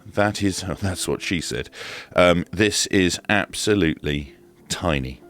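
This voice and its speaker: A man's voice: low-pitched, a little slow, fairly bright tone, soft background music. A British man giving casual, informal hands-on commentary as he presents a product review. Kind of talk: casual unboxing and demonstration commentary.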